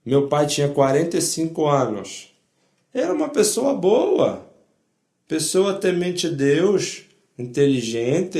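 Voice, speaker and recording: An older man with a foreign accent in Portuguese, giving spoken testimony in a deliberate, emphatic way with short repeated phrases. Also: fairly high pitch, dark tone, echoey room. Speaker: Holocaust survivor giving testimony. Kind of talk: spoken first-person testimony to an audience.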